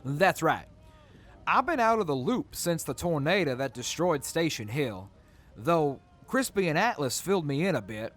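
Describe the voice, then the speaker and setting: Good-humored wasteland soldier, played by a male actor, higher-pitched male voice, very lively intonation. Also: normal speed. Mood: amused reminiscing. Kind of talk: post-apocalyptic audio drama acting.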